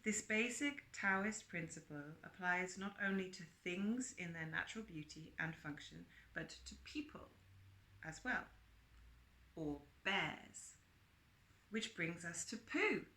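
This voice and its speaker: In an echoey room, a woman reads aloud with a bright, forward voice that sits a little low. She presents the text expressively and emphatically, slipping into chatty asides to her listeners. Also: expressive, takes it slowly. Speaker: reader and presenter of book extracts. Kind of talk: read-aloud with chatty asides and character quotes. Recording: roomy, echoing sound.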